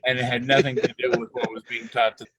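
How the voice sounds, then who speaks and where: A man interpreting a discussion into English, steady, with occasional restarts. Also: medium pitch, brisk, slightly rough, dry recording, poor audio.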